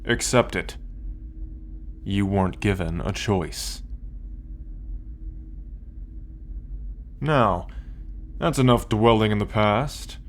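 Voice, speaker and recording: A man's voice: on the low side, slight background noise. A man playing an arrogant, possessive alien military conqueror in an ASMR roleplay, commanding and condescending as he addresses the listener. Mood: cold, domineering. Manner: commanding, condescending, slow deliberate delivery.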